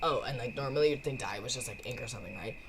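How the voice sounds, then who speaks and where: A woman voicing a laid-back, slangy cat schemer who rambles eagerly in halting bursts, as in a game. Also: extremely low pitch, gravelly, noisy background, pitched below the performer's own voice. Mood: pleased, scheming. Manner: halting, stoner-like slang delivery.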